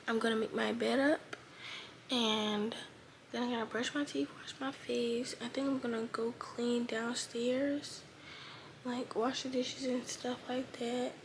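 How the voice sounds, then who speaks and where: Teen girl vlogger, just woke up, raspy and unhurried, phone held close in her bedroom. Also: fairly high pitch, fairly bright tone, slight background noise. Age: teens. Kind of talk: casual morning vlog talk. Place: bedroom, indoors. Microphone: phone held close at arm's length.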